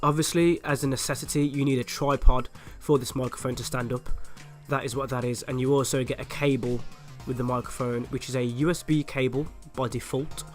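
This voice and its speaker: A man with a raspy voice, hoarse from a flu, chatting upbeat and direct to viewers as he reviews a microphone at home on a desktop USB mic. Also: mid-range voice, fairly even intonation, fairly quick, faint music behind. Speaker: YouTube channel host. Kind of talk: casual product review and unboxing. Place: at home, indoors.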